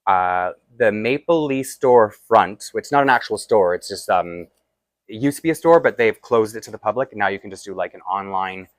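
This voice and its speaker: A man with a Toronto accent talks through a doll unboxing in a loose, rambling way, poking fun at himself as he goes. His voice sits low, and the sound is dry and close with no room echo. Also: fairly bright tone. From Toronto, Canada. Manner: chatty and self-deprecating, rambling asides while handling a doll. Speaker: doll collector vlogger. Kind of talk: casual unboxing chat.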